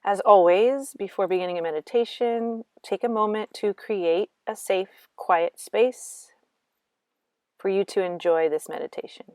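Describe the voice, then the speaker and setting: A woman guiding a meditation in slow, gentle instructions with long pauses between phrases. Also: mid-range voice, no echo, studio quality. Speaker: meditation guide. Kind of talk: guided meditation narration.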